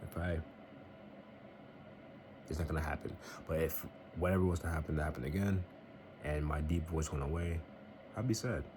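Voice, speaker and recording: A man's voice: normal speed, pauses often. A young man vlogging in a casual, earnest and somewhat rambling way, giving faith-based advice. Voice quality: very deep voice. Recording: noisy home recording.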